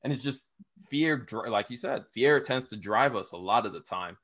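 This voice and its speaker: A young man hosting a podcast interview, talking in a casual, slangy, animated way and laughing often. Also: medium pitch, moderate pace. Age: young adult. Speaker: podcast host.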